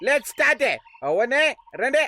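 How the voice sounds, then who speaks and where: A man voicing an excitable, boastful band leader in a cartoon dub. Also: extremely high pitch, extremely slow, exaggerated voice. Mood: commanding.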